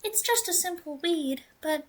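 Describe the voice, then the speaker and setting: A woman's voice: extremely high pitch, expressive, unhurried. A woman with a British accent, chatting casually and self-deprecatingly while playing a game. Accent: British English. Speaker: gaming streamer. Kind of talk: casual let's-play commentary.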